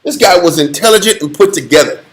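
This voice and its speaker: A man's voice: sits high, lively intonation, quick pace. A man leading a casual Bible study in a blunt, joking, slangy way.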